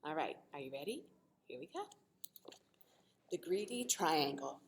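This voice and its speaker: Animated woman teacher reading to children. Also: low-pitched, measured pace, creaky, a little hiss or hum. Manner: animated, asks the listeners questions. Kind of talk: expressive children's read-aloud with simple explanations.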